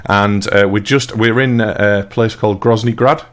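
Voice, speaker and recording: A British man with a fairly low voice hosts a let's play. His delivery is casual, unscripted live commentary. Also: steady tone, moderate pace. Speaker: let's play host and player.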